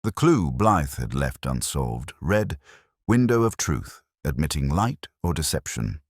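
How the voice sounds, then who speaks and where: A man reading a scripted first-person detective story in a measured, reflective, literary manner. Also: rumbling low, big pitch swings, slightly rough, dry recording, clean studio sound. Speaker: narrator.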